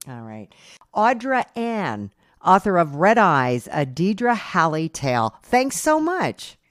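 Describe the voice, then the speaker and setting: Lively-toned woman host. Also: lowish voice, slow pace, dry recording, clean studio sound. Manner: upbeat, encouraging. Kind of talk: interview hosting.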